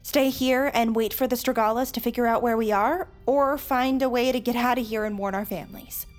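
A woman's voice: a little high, steady tone, brisk, soft background music, lightly acted. Woman playing a determined, sarcastic teenage girl. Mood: insistent. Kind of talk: audio drama acting.

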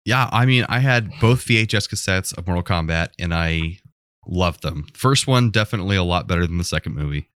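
A man with an American accent and a deep voice talks in a casual podcast setting. His delivery is informal and rambling, and the sound is dry, with very little room in it. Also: animated, a little fast, a bit bright, dry recording. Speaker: podcast co-host.

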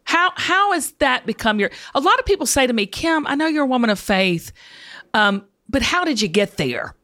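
A woman podcast host chatting casually and heartfelt with a guest, expressive and confiding, with emphatic affirmations. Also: sits high, normal speed. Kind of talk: casual heartfelt interview chat.

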